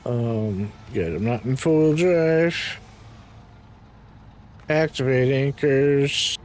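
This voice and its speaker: Raspy-voiced man narrating his gameplay. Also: mid-range voice, drawn-out words, darkish tone. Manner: muttering to himself while playing, frequent ums and throat-clearing. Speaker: game streamer. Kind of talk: casual gameplay commentary.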